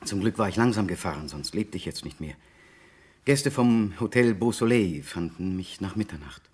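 A man's voice: deep voice, lively intonation, normal speed, a little mellow, slightly rough. A man acting an anxious, tormented client in a 1960s German radio play, speaking hesitantly and broodingly as he confides. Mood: agitated recounting. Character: anxious, tormented man seeking a private detective's help.

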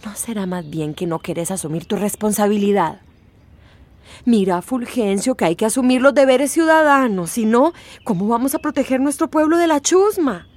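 A woman's voice: on the high side, lively intonation, moderate pace, darkish tone, close to an everyday voice. A woman playing a scornful, argumentative townswoman in a radio drama, haughty and exclamatory. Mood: disapproving. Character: scornful, indignant townswoman campaigning against migrants. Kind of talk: Spanish-language radio drama acting.

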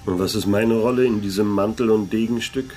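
A male actor as a private detective in a German radio drama, his voice on the low side. He sounds sceptical and sardonic, keeping a dry, wry, guarded manner throughout. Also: steady tone, brisk, darkish tone, soft background music, lightly acted.

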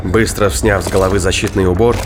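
A man's voice: low voice, fairly even intonation, a little fast, background noise. A man narrating scripted science fiction thriller in a dramatic audiobook style.

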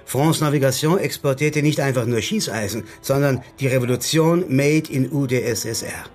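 An actor playing a well-informed political comrade of a detective in a radio crime drama, speaking in a wry, knowing manner. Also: male voice, medium pitch, moderate pace, a little mellow, close to an everyday voice. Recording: studio radio drama with music underneath.

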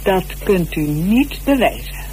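An actress playing a haughty, wealthy suspect in a radio drama, answering in clipped, challenging phrases. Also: female voice, on the low side, expressive, slow pace, dark tone, slight background noise, poor audio. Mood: defiant. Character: haughty, wealthy married woman, coolly defiant suspect.

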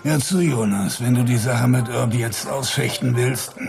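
Very gravelly, swaggering gunslinger. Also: male voice, mid-range voice, unhurried, over music. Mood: jovial, teasing. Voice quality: creaky. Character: swaggering sardonic male Wild West gunslinger, jovially cold-blooded. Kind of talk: radio play acting.

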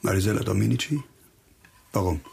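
An actor playing a grim, hard-bitten police investigator in a radio crime drama, speaking insistently and probingly, with music behind him. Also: male voice, on the low side, animated, a little fast, gravelly, darkish tone, a little hiss or hum.

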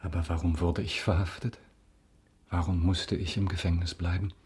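Male actor as avenging nobleman, low voice, stern, bitter, formal delivery, radio play. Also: flat delivery, a little mellow, natural voice. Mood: accusing. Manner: formal, grave, measured. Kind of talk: German radio drama acting.

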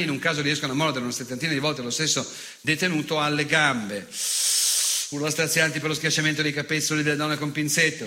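A cruel, harsh-edged unrepentant fascist. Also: male voice, on the high side, bright, forceful tone. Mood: cold gloating. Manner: emphatic, obsessive, sardonic recitation with repeated words. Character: unrepentant old fascist, gloating, cruel, bitter, ranting nostalgic. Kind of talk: theatrical monologue.

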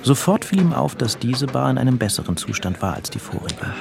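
A man's voice: mid-range voice, sing-song, brisk, vocal fry, a crowd in the background. A man narrating a scripted literary story as the narrator of an audio drama. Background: music.

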